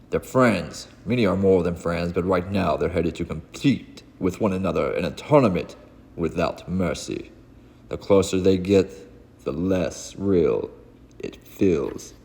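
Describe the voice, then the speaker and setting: A man narrating a comic book read-along in a dramatic, solemn, theatrical way with deliberate pauses. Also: deep voice, creaky, dark tone. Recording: long hall reverb.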